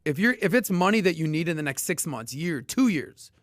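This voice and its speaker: A man hosting a casual podcast and explaining investing in an emphatic, animated way, with sudden loud bursts. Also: fairly high pitch, a little fast, noisy background. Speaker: podcast host.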